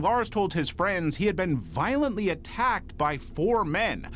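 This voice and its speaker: Male true-crime narrator, fairly high voice with very animated intonation, faint noise behind.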